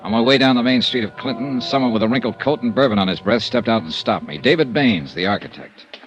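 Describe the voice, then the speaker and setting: An actor playing a wry, hard-boiled insurance investigator with a dry, clipped delivery, in a 1950s radio drama. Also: male voice, mid-range voice, lively intonation, quick pace, lo-fi sound, soft background music, lightly acted. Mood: wry narrating. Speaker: detective narrator and lead. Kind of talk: 1950s radio noir narration and acting.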